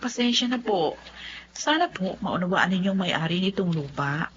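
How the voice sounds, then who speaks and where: A woman playing a polite but firm lawyer in a Filipino radio drama, formal and calmly apologetic. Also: on the low side, moderate pace. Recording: dull recording, faint background noise.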